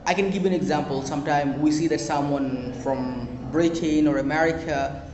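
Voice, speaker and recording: Young bangladeshi guy, bengali native, earnest english teacher, kinda high voice, roomy echo and faint hum behind. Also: fairly even intonation, moderate pace, dull audio. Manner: earnest, repeats phrases, hesitant fillers. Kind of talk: advice talk to learners. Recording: echoey room with long reverb.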